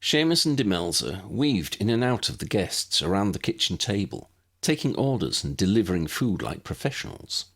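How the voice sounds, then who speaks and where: A man reading a story aloud as an audiobook narrator, in an expressive storytelling style. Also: on the low side, moderate pace, breathy edge. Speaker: audiobook narrator reading own fan fiction.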